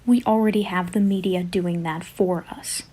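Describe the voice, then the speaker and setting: A woman leaving an earnest recorded complaint message, speaking in halting, deliberate, formal phrases. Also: on the low side, steady tone, mellow, breathy edge. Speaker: voicemail sender.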